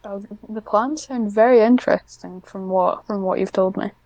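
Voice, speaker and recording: A woman's voice: medium pitch, normal speed, dark tone, no room sound, low-quality recording. A woman chatting casually on a podcast as the interviewer, informal and a little hesitant. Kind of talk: casual chat.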